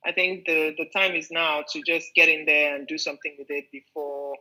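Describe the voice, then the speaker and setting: A woman interview host with a Yoruba-inflected Nigerian English accent, speaking over a video call. She is chatty and playful and laughs easily. Her voice is bright and sits slightly low. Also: flat delivery, fairly quick, rough recording. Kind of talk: casual interview chat.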